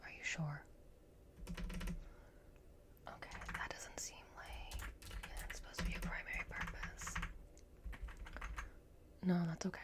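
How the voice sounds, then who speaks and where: A woman speaking in a soft, breathy whisper, gently guiding the listener through an ASMR roleplay as a repair technician. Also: deep voice, huge pitch swings. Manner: gentle, reassuring, unhurried guidance. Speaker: ASMR performer playing a robot repair technician.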